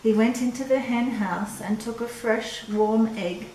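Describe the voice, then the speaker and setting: An older woman narrates a picture book for children in a measured, expressive read-aloud. Her voice sits in a roomy, echoing space with some faint noise behind it. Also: fairly high pitch. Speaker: storyteller reading a picture book. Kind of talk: scripted storybook narration for children. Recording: roomy echo with clear background noise.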